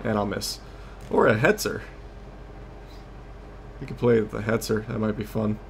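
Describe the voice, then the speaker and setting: A man's voice: lowish voice, fairly even intonation, measured pace, creaky, a little hiss or hum. A man giving casual, rambling gameplay commentary as host of a gaming series, often losing his train of thought.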